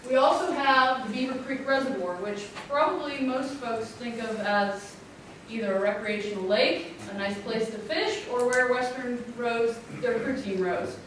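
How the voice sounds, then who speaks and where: A woman with a loud, clear voice presenting a water infrastructure plan at a public community meeting. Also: a little high, normal speed, few pauses. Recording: echoey room, distant mic, background noise.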